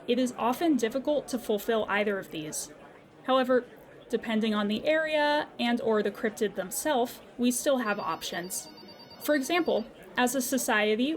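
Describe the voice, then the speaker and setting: Woman acting as a polite, higher-voiced call center agent. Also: close to an everyday voice. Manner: formal, measured, courteous. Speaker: customer service representative. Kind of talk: scripted comedy audio drama phone call.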